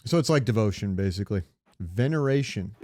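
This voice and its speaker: A man's voice: lowish voice, expressive, brisk, mellow, slight background noise. A man co-hosting a comedy podcast, chatting casually with some swearing and reading bits aloud in a joking way. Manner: profane, joking. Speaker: podcast co-host.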